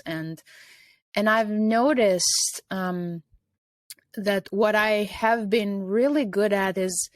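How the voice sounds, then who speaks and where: A woman's voice: medium pitch, no room sound. A middle-aged woman from Albania, a guest in an interview, speaking reflectively with many fillers.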